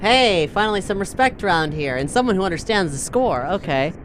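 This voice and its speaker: A woman improvises casual banter as a tabletop game player. Her intonation moves around in a lively way, with slight noise in the background. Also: medium pitch, brisk.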